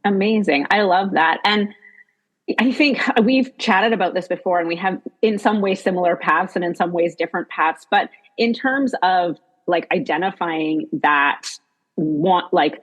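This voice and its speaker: A woman speaks as the host of an interview podcast. Her voice sits a little low and has a husky edge. She introduces and questions with an enthusiastic manner.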